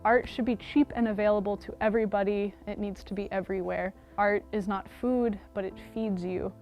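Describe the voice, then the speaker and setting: A woman's voice: mid-range voice, fairly even intonation, fairly quick, a little mellow, soft background music. A woman, explaining things in an interview as a member of a theater.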